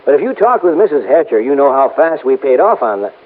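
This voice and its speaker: Man playing animated insurance salesman, tinny vintage radio. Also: medium pitch, lightly acted. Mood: busy, obliging. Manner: animated, brisk, obliging. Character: eager, busy, salesmanlike male insurance agent. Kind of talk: 1950s radio drama acting. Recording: tinny old radio recording, faint noise.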